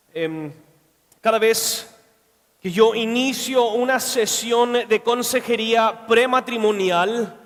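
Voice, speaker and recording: Middle-aged male pastor with a strikingly high voice, measured and earnest, recorded in a reverberant hall. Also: a bit bright. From Mexico. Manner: earnest, measured delivery with pauses. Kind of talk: conference sermon. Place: church conference hall. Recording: echoey hall with long reverb.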